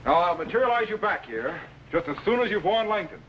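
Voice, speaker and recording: Commanding sci-fi captain, noisy soundtrack. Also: male voice, high voice, expressive, brisk, a bit bright, dull audio. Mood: brisk, concerned. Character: brisk, protective time-travelling captain, a commanding hero. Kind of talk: 1950s children's sci-fi television acting.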